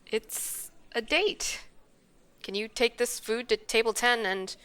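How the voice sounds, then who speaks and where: A woman plays a bossy young supervisor with a sharp, bright, fairly high voice. She is sarcastic, brisk and dryly scolding, pitched for radio comedy. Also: smooth. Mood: playful, wry. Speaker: voice actor in a radio play. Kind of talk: comedic radio play acting.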